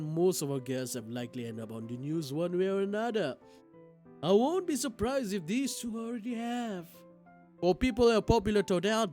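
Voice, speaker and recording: A high-voiced man reads game text like a stage narrator, swinging expressively through his lines. He is excitable and theatrical, and game music plays faintly behind him. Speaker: game streamer. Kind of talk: livestream playthrough, dramatic read-aloud of visual novel narration.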